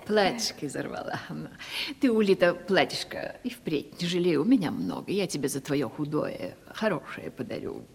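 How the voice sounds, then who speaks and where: A woman's voice: deep voice, very animated, normal speed, creaky, darkish tone, husky, full character voice. An actress playing a vain, coquettish ageing lady of a country estate in a Russian radio comedy, with a commanding, condescending theatrical manner. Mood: haughty, sceptical. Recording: reverberant studio radio play recording.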